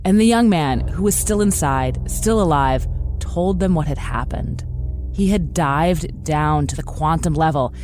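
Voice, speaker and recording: Low-voiced woman narrating over music. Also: a little slow. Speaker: podcast narrator. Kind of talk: scripted narrative storytelling. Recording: produced podcast clip with music underneath. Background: music bed.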